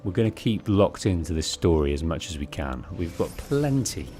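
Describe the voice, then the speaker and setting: A British man with a deep, bassy voice giving measured, polite storytelling commentary as he streams a game, close on the microphone. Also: very animated, mellow, soft background music. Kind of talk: let's play commentary, storytelling. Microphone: close bass-heavy streaming microphone.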